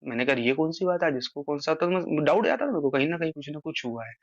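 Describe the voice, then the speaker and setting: Fast-talking man on a muffled phone call. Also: a little high, animated, crowd noise. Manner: earnest, explaining at length, rising emphasis. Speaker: social media creator on a phone call. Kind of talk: casual phone conversation.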